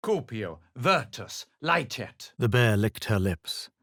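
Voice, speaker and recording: A man playing a haughty, self-assured fantasy adventurer in a video game, speaking in a measured, superior way. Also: mid-range voice, big pitch swings, a bit bright, no echo, clean studio sound, exaggerated voice. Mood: intense spellcasting. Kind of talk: video game character voice lines.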